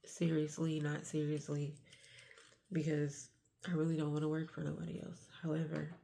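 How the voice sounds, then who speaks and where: A middle-aged woman vlogging a hushed, confessional talk early in the morning, with a stuffy nose from getting sick. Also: low voice, creaky. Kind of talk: confessional vlog.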